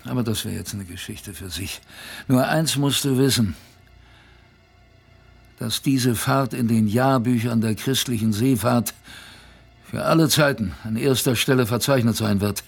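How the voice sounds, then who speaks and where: Old seafaring grandfather, radio drama. Husky, slow, reflective storyteller. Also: medium pitch, soft background music. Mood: proud, emphatic. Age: older. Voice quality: gravelly, husky. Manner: halting, reflective, emphatic. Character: old former polar seaman, proud reflective grandfather. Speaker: narrator in an audio drama.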